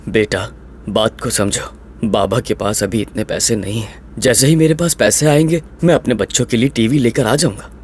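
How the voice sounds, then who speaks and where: A man playing a caring, hard-pressed father and husband in an animated story, earnest and placating. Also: lowish voice, moderate pace, a little hiss or hum, close to an everyday voice. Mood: apologetic, earnest.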